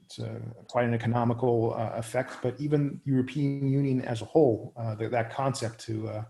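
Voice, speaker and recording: A man in his thirties, raised in the Netherlands, answers interview questions at an unhurried, searching pace. His voice sits at an ordinary middle pitch with a noticeably gravelly edge. He keeps pausing to hunt for the right words. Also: steady tone, mellow. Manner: many fillers and restarts, searching for words. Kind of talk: hesitant conversational answers.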